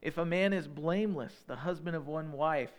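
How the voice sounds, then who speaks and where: A man in his thirties with a Northern Illinois accent, preaching a sermon in a relaxed, conversational way with folksy asides. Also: on the high side, normal speed, clear, resonant tone. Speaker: pastor.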